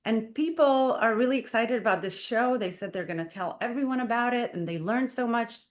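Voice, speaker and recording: A woman's voice: a little high, a little fast. Earnest woman guest on talk radio. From Israel. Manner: earnest, uses many fillers like "like" and "you know". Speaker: guest, playwright and performer. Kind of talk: radio interview. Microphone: studio microphone.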